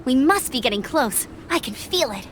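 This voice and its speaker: A woman's voice: high voice, animated, normal speed. A woman playing a spirited, friendly young female scout, earnest and upbeat, in an anime-style video game. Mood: encouraging. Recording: music behind the voice.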